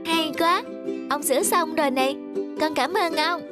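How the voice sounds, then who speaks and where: A woman's voice: high voice, normal speed, over loud music, close to an everyday voice. A woman voicing a polite, sweet schoolchild in a cartoon story. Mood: grateful, cheerful.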